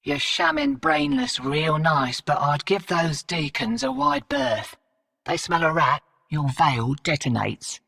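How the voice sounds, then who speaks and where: A woman's voice: low voice, very animated, slow pace, creaky, a bit bright, long reverb tail, distant mic, full character voice. An actress playing a brash, streetwise female smuggler and commander in a video game, with a cocky, blunt, slangy delivery. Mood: friendly, sly. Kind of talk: video game character dialogue.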